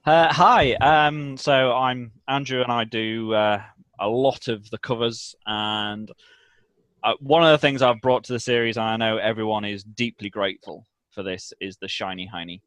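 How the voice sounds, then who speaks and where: A man's voice: mid-range voice, moderate pace, a bit bright, no echo. A man chatting casually as a podcast guest, jokey and somewhat hesitant in his delivery. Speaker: cover artist, podcast guest. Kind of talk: casual group podcast chat.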